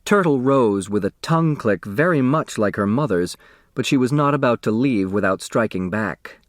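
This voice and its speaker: A man narrating a children's mystery novel as an expressive audiobook reader who acts out the dialogue. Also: medium pitch, normal speed, no room sound, studio quality.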